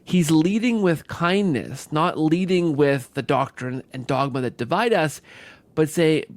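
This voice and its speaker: A man's voice: fairly high pitch, a little slow. A man hosting a conversational podcast interview, circling and rephrasing his phrases as he builds a point. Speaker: podcast host.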